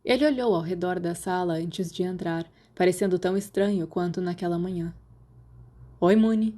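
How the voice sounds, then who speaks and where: A woman's voice: lowish voice, steady tone, brisk, mellow. A woman with a Brazilian accent reading scripted fiction as an audiobook narrator. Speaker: podfic narrator.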